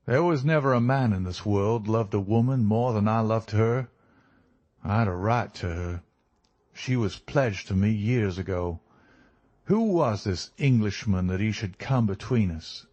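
A man voicing a fierce, lovesick and desperate American criminal in a storybook reading, forceful and emotional. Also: mid-range voice, dull audio, pitched above the performer's own voice.

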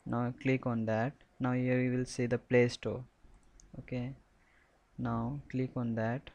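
Slow, flat-toned man giving a tutorial. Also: medium pitch, vocal fry. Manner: halting, repetitive, instructional. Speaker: software tutorial presenter. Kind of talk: step-by-step screen tutorial, unscripted.

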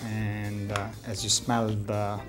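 A middle-aged man, a restaurant chef, explaining a recipe in halting full of pauses. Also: lowish voice, completely flat delivery, music in the background. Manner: halting non-native English with many uhs. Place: studio kitchen set.

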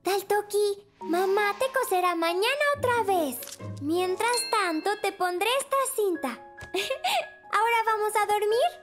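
Very high-voiced sweet little girl. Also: a little slow, a bit bright. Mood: tender. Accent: Latin American Spanish. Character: cheerful, affectionate five-year-old girl. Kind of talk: children's animation dub. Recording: music behind the voice.

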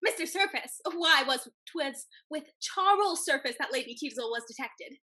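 A young woman acts an excitable society gossip in a theatrical period comedy. Her voice is high, bright and wildly animated, insistent and gushing. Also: normal speed. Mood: excited, insistent. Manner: gushing, emphatic. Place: room at home on a video call.

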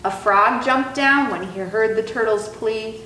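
Young woman, storytime host, high voice, unhurried, expressive, sing-song, roomy recording. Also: recorded from a distance. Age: young adult. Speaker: zoo educator and storytime host. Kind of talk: expressive children's storybook reading. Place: indoor room, reading through a face mask. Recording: roomy sound with faint background noise.

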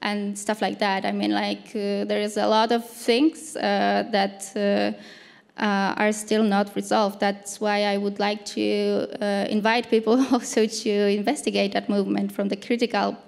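A woman in her thirties answering as a panel speaker, haltingly searching for words, on a handheld microphone in an auditorium. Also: medium pitch, level tone, gravelly, darkish tone, smooth. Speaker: panel speaker, activist anthropologist. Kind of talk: unscripted answer at a panel discussion. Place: large dark auditorium stage. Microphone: handheld microphone held close. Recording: reverberant hall sound.

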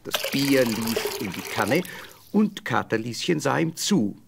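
A man narrating a fairy tale from a script as an expressive storyteller. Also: medium pitch, measured pace, vocal fry, background noise.

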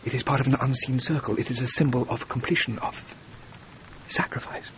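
An actor playing an earnest, self-doubting male architect in a radio drama, with a halting, thoughtful delivery. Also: mid-range voice, steady tone, a bit bright, muffled recording, a little hiss or hum, lightly acted. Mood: earnest, visionary. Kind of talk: BBC radio drama acting.

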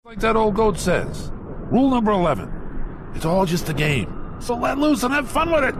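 A man's voice: high-pitched, sing-song, moderate pace. An actor playing a sarcastic, hot-tempered god of the underworld with slick showman patter. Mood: sly, breezy. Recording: noisy game clips, distant sound.

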